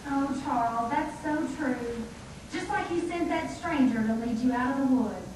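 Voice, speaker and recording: A woman voicing a worried, gently scolding frontier mother in a scripted puppet show, with a measured manner. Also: high voice, lively intonation. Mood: moved. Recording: echoey room, far mic, noisy.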